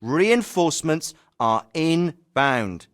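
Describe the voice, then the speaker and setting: A stern special forces commander. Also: male voice, on the high side, sing-song. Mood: commanding. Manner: measured, clipped military briefing delivery. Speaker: military commander giving briefings. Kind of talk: video game voice acting. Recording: clean studio voice recording.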